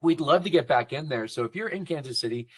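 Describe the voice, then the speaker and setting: A man's voice: fairly high pitch, animated, fast talker, husky. A man hosting a podcast, talking casually and eagerly, open about being boastful. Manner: enthusiastic, self-deprecating promoter. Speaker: podcast host.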